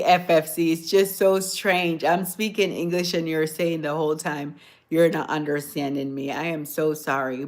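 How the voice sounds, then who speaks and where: Low-voiced soft-spoken woman. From Jamaica. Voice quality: low, sometimes hoarse voice. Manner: chatty, reads and answers comments, soft-spoken. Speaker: livestream host. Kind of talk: casual livestream chat with viewers. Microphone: phone.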